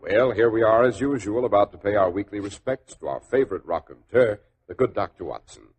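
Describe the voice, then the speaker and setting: A man's voice: lowish voice, a little fast, darkish tone, faint music behind. An American man announcing and reading advertisements in a polished, emphatic 1940s radio style. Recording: noisy old 1940s radio recording.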